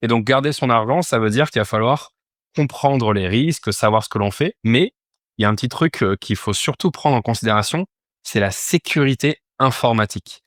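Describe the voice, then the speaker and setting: A man's voice: medium pitch, a little fast, a bit bright, no room sound, clean studio sound. A man giving an informal step-by-step tutorial as an instructor, explaining things and often posing questions that he then answers. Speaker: instructor teaching a crypto finance course.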